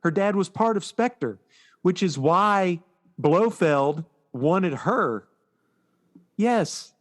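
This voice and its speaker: A man co-hosting a podcast, chatting casually about movies in a halting, storytelling way and often quoting dialogue. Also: sits high, expressive. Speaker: podcast co-host.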